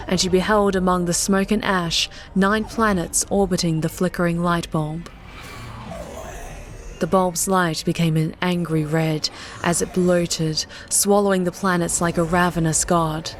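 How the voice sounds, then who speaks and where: A woman narrates an audiobook in a dramatic, scripted style. Her voice sits a little low, and music plays behind her. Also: steady tone, unhurried.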